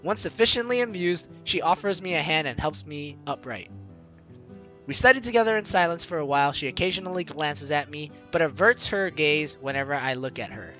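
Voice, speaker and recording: A man's voice: a little high, animated, a little fast, bright, forceful tone, muffled recording, soft background music. A man hosting a gaming video, playfully mixing jokey commentary with theatrical read-aloud narration.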